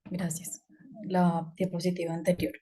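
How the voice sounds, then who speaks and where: A woman's voice: lowish voice, completely flat delivery, a little slow, warm tone, breathy edge. A woman from Colombia giving an online talk, speaking conversationally with fillers and hesitations. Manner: conversational, hesitant with fillers, asks about slides.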